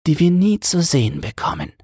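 Old mermaid grandmother, played by a man, fairy-tale audiobook, high-shifted creaky voice, swooping intonation, wise, resigned, instructive. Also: huge pitch swings, darkish tone, dry recording, clean studio sound, full character voice. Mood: solemn, matter-of-fact. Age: older. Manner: slow, drawn-out, instructive.

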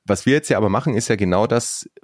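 A man's voice: on the low side, fast, no room sound, clean studio sound. A man hosting a podcast, chatting in an upbeat way with frequent fillers and restarts. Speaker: podcast host. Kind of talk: casual podcast chat.

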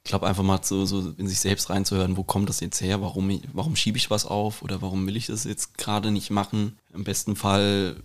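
A man's voice: low voice, monotone, fairly quick, hardly any pauses. A man talking in a casual podcast chat, reflective and informal, with English slang mixed in. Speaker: podcast host and painter.

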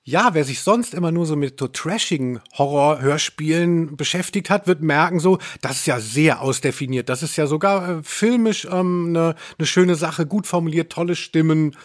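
A man with a fairly high-pitched voice talks as a podcast host. His delivery is casual and humorous, with a wry, teasing edge as he chats about audio dramas. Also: normal speed, few pauses.

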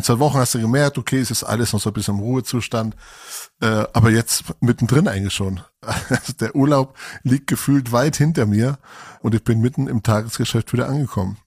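A German man in his forties co-hosting a podcast, talking in a relaxed, reflective way with some fillers. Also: medium pitch, husky, no echo, clean studio sound. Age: 40s. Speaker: podcast co-host. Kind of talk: casual podcast conversation.

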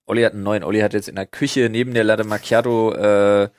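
A man's voice: lowish voice, fairly even intonation, fast, dry recording. Slangy young man, dry close podcast sound. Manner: slangy, mixes in English words. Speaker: podcast host. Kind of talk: casual comedic banter.

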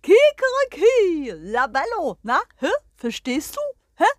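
Woman playing a proud, crowing rooster, lively and high. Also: no room sound, studio quality, exaggerated voice. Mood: gleeful, showy. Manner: crowing, cackling, showy delivery with drawn-out punchlines. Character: proud, boisterous rooster who loves telling jokes. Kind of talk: children's storytelling.

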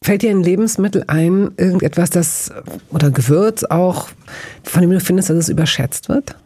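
A woman interviewing a guest, curious and eager, with warm, excited reactions. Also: fairly low pitch, normal speed, husky. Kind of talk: casual interview.

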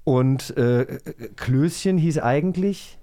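A man's voice: a little high, slow pace, mellow. A man chatting casually and playfully as a podcast co-host. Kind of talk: casual chat.

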